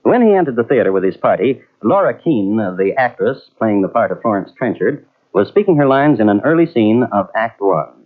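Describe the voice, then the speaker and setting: A man narrating an old radio mystery in a measured, wry storytelling manner. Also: fairly low pitch, big pitch swings. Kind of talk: scripted narration. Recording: tinny old radio transfer from cassette.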